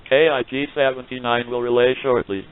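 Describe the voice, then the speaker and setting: A man's voice: mid-range voice, little pitch movement, a little fast, smooth. Man on a thin, phone-like radio relay. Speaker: radio relay station announcer. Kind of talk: brief scripted standby announcement.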